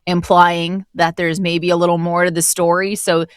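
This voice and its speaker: A woman's voice: on the low side, flat delivery, moderate pace, clear, resonant tone, dry recording, studio quality. A woman who narrates audio drama, chatting casually and enthusiastically as a guest, reflecting on her work. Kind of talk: casual conversational interview.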